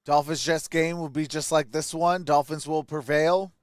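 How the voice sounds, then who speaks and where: A man's voice: a little high, steady tone, moderate pace, clean tone, dry recording, clean studio sound. A man hosting a live sports stream, giving casual reactions and commentary on the play.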